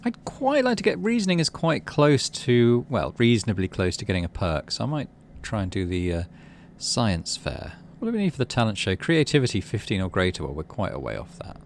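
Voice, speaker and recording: A man's voice: fairly low pitch, sing-song, brisk, gravelly, hardly any pauses, slight background noise. A man hosting a game playthrough, reading story text aloud and thinking through his moves in a muttering way. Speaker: gaming channel host. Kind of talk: let's play commentary and read-aloud narration.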